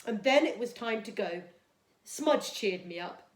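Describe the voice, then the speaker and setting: A woman's voice: medium pitch, animated, a little slow, natural voice. A woman voicing a glum, resigned working-class father who is out of work, in a storybook. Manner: glum, resigned, plain-spoken. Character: weary, downhearted jobless working-class father. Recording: echoey, roomy sound.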